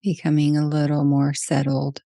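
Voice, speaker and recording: Low, mellow woman guiding meditation. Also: completely flat delivery, gravelly, no room sound, studio quality. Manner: slow, gentle pauses between short phrases. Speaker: meditation guide. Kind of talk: guided meditation.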